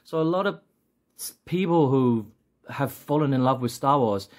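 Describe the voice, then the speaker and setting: A man's voice: mid-range voice, expressive, normal speed, a little mellow. Late-forties male vlogger, chatty and jokey, loose rambling delivery with many false starts. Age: 40s. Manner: rambling, jokey, often restarting sentences. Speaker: action figure seller and channel host. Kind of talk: casual vlog rant.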